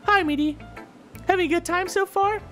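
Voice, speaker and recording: A woman's voice: very high-pitched, moderate pace, fairly bright tone. A woman voicing a cheerful, reassuring teenage girl club leader in a visual novel, friendly and encouraging. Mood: welcoming. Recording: game music under the voice.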